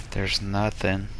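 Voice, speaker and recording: A man's voice: low voice, background noise. A man streaming a game with casual commentary, muttering short remarks to himself.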